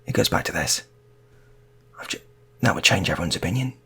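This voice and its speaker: Male storyteller for improvised tales: low, gravelly, breathy-husky, halting and repetitive, casual delivery. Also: lively intonation, pushed and bright.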